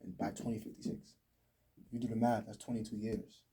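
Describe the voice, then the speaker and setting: A young American man with a husky, mellow voice, a bit away from the mic. He talks freely and emphatically, full of slang, in a casual podcast conversation. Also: lowish voice, little pitch movement, fairly quick, far from the mic. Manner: talkative, emphatic, slang-heavy.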